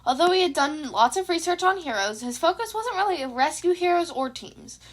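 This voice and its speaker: A woman's voice: very high-pitched, animated, moderate pace. A woman reading a scripted piece of fan fiction aloud as a narrator. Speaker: podfic narrator.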